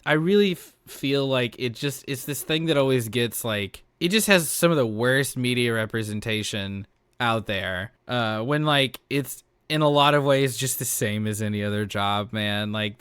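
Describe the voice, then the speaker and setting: Male podcast co-host, mid-pitched and clear, dry sound, candid, relaxed wording and conversational delivery. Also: no echo. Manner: candid, informal, freely sweary. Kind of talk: casual podcast discussion.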